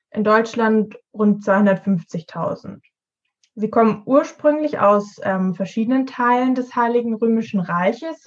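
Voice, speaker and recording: Female, early twenties, student podcast co-host, informative delivery, average pitch, dull recording. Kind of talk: prepared explanatory podcast talk.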